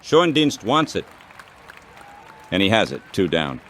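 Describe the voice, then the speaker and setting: A man calling baseball play-by-play as an announcer, in short, measured phrases. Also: sits low, big pitch swings, a bit bright, some chatter behind, a little hiss or hum.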